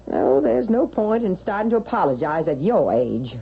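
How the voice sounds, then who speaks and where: An actress playing a plain-spoken, loyal, sceptical housemaid in vintage radio drama, with a folksy, matter-of-fact way of talking. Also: female voice, lowish voice, very animated, normal speed, gravelly. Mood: folksy, forthright. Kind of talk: 1970s-80s radio drama acting. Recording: dull vintage radio, faint hiss.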